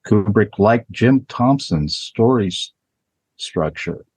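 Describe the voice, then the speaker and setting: A man's voice: on the low side, animated, slow, a little mellow, slightly rough, no echo. A man in a film discussion who reads prepared notes in a matter-of-fact, list-like way.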